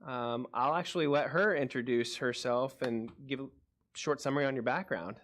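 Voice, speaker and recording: A city staffer answers council members' questions. He sounds casual and upbeat, with a mid-pitched voice and a few fillers along the way. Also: moderate pace, fairly bright tone. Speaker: city department staff head.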